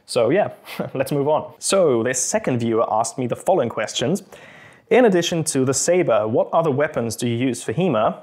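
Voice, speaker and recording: A man's voice: medium pitch, expressive, normal speed, darkish tone, slightly rough. A young adult man from Austria, a native Austrian German speaker whose English carries a British accent, hosting a casual question-and-answer video in a friendly, thoughtful and slightly hesitant manner. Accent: Austrian German mixed with British English. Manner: friendly, thoughtful, a little hesitant with frequent ums. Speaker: channel host and fencing instructor. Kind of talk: casual Q&A to camera.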